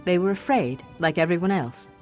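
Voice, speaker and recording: A woman's voice: fairly low pitch, normal speed, a little mellow, soft background music, lightly acted. An actress voicing an earnest, sheltered young elf woman in a fantasy game, emotionally open and dramatic. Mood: wounded, hesitant.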